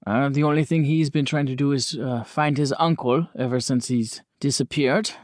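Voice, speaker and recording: A man's voice: mid-range voice, moderate pace, a little mellow, dry recording, lightly acted. Man playing a polite 1920s doctor, close and dry. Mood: candid, measured. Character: courteous 1920s town doctor, frank and obliging.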